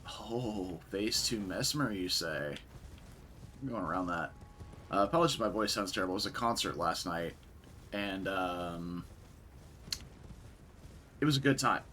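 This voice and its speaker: A young man with a raspy voice from a concert the night before, casually commentating a game stream and chatting with viewers. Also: deep voice, a little slow, pauses often, a little hiss or hum. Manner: relaxed, chatty, answers chat.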